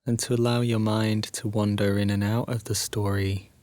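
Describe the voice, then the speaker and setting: A man guiding a sleep meditation as a narrated story, soothing and hushed, leaving long pauses between phrases. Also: lowish voice, flat delivery, no echo.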